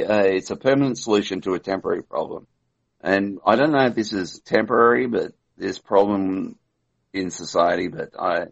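A man's voice: lowish voice, gravelly, dull audio. A man who has had a few glasses of wine, rambling loosely and laughing as a guest on a call-in show from his balcony. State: tipsy from wine. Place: on a balcony at home.

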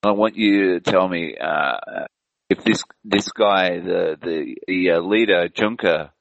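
A very deep-voiced man with a gravelly, creaky sound hosts a call show and rambles loosely between restarts. The call audio is dry and lo-fi. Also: slow, no room sound. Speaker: podcast host. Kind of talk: casual online chat. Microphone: video call.

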